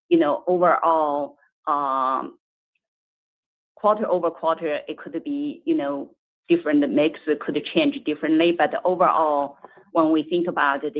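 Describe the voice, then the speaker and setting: A tinny, phone-like conference line carries a woman speaking as a finance executive. She answers analysts in halting phrases, often restarting and repeating herself. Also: sits low. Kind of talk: earnings call answers to analysts. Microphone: conference call.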